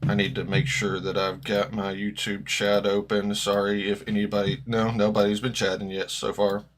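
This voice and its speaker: A man with a slightly gravelly voice, chatting casually as he reacts live to a football match, rambling and correcting himself as he goes. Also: lowish voice, monotone, moderate pace. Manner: rambling, self-correcting, hopeful fan. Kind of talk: casual live-stream chat.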